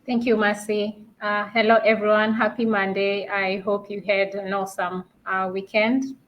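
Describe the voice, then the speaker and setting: A woman's voice: medium pitch, little pitch movement, normal speed, muffled recording. Panel guest, Kenyan woman, mid-range voice, earnest and reflective. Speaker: panel guest, online business owner. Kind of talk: casual panel talk.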